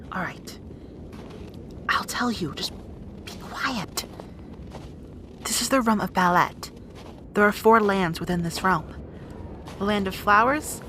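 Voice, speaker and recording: A woman's voice: medium pitch, fairly bright tone, a little hiss or hum, natural voice. A woman playing a bossy, guarded young rat princess in an audio roleplay drama, with exasperated, wry asides.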